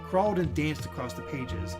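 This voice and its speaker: Animated man reading game narration aloud. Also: on the high side, moderate pace. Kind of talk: casual let's-play read-aloud with chat asides. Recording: game music behind the voice. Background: video game music.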